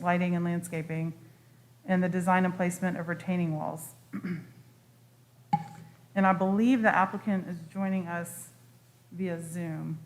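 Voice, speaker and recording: A woman speaking as a city staff member, giving a formal report and recommendations at a meeting. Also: lowish voice.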